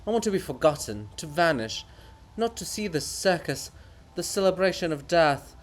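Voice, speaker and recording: A man's voice: fairly high pitch, sing-song, moderate pace, slight background noise. A man voicing a vain, fanatical monument come alive in a dramatic stage farce, declaiming pompously and theatrically. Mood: martyred grandeur. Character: ranting, grandiose Bulgakov monument, vain and fanatical.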